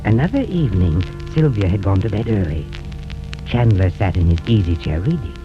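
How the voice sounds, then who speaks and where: An old, muffled radio recording carries a sinister horror storyteller with a low voice. He swings dramatically up and down in pitch, drawing out his words with gleeful, macabre relish in classic 1930s thriller style. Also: sing-song, over music. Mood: hushed, ominous. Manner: theatrical, drawn-out dramatic delivery with macabre relish.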